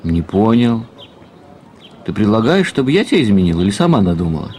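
An actor in an audio drama playing a weary, melancholy ageing academic husband, musing with resigned affection. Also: male voice, fairly low pitch, sing-song, a little fast, a little mellow, slight background noise.

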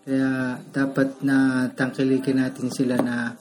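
Flat-toned Filipino man over soft music. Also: mid-range voice, measured pace. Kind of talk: personal testimony.

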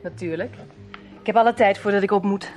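An actress playing a guarded, plain-spoken nightclub performer with a direct manner in a radio drama. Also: female voice, mid-range voice, brisk, a little mellow, faint music behind, close to an everyday voice. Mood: polite.

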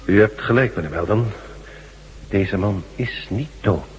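A man acting in a Dutch radio drama as a learned doctor excited by scientific discovery, speaking in an emphatic, lecturing manner. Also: fairly low pitch, a little slow, over loud music, close to an everyday voice. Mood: solemn explaining. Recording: echoey, noisy radio play recording.

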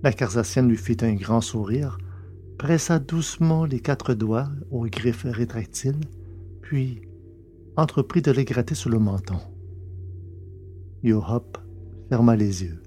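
A man reads a science-fiction adventure as an audiobook narrator. His voice is mellow and medium in pitch, with soft music behind it. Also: slow pace, long pauses.

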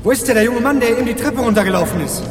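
An actress playing a nosy old woman neighbor who questions in a shrill, insistent way in a radio drama. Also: animated, racing, roomy sound, in a large hall, soft background music, close to an everyday voice. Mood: agitated. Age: older.

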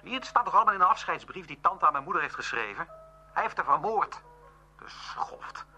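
A man's voice: sits high, big pitch swings, a little fast, bright, forceful tone, muffled recording, faint music behind, exaggerated voice. A man acting in a radio play as a grief-stricken young student, bitter and accusing. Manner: anguished, accusing. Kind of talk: radio drama dialogue.

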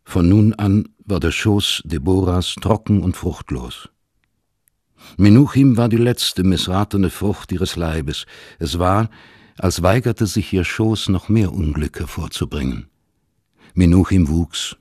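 A man reads literary narration for a radio play. His voice is low and husky, recorded in a dry studio without room sound. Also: takes it slowly, darkish tone, no echo.

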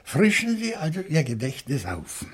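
An actor playing a grave, probing newcomer under suspicion in a radio crime play, speaking deliberately and with formal politeness. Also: male voice, a little high, huge pitch swings, husky, heavily acted. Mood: grave, insistent.

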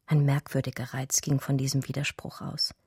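An actress playing an educated art historian who recounts her love story in a radio drama, measured and eloquent. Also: extremely low pitch, flat delivery, dark tone, slightly rough, no echo, clean studio sound, full character voice. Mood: measured, scholarly. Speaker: narrator and lead character. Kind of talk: literary radio drama narration.

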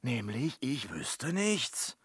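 An actor playing a cheeky, sly manservant, theatrical and self-satisfied, in a classic radio comedy. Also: male voice, medium pitch, sing-song, drawn-out words, fairly bright tone, husky, no echo, studio quality, full character voice. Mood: amused, boastful. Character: cheeky, sly manservant spinning tall tales.

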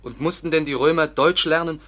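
A man's voice: on the high side, fairly quick, bright, forceful tone. An actor playing a naive, stubborn, trusting teenage boy in a German radio drama, speaking simply and earnestly.

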